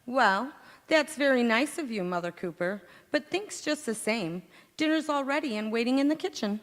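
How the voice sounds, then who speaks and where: Actress as flustered sitcom housewife, old-time radio style, swooping lively intonation, ringing hall. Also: female voice, on the high side, normal speed, natural voice. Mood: breezy, smug. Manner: theatrical, exclamatory. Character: flustered, chirpy 1940s housewife in a radio comedy. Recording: echoey hall, live stage performance.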